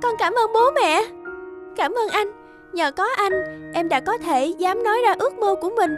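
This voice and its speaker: A woman voicing an anxious, earnest teenage girl in a cartoon, soft, hesitant and polite, with a Northern Vietnamese accent. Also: very high voice, expressive, normal speed. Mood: grateful. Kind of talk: animated cartoon dialogue. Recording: music behind the dialogue.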